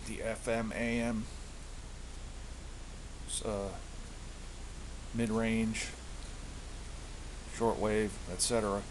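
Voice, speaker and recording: Low-voiced man, halting, hissy recording. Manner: halting, searching for words, repeating phrases. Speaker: hobbyist presenter. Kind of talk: casual product show-and-tell. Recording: hissy amateur home recording.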